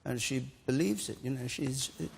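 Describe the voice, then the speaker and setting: Gravelly male moderator, brisk, hall reverb, faint crowd and noise. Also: medium pitch. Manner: brisk, directing turns. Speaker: debate show host. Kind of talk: moderating a televised debate. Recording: studio hall with audience.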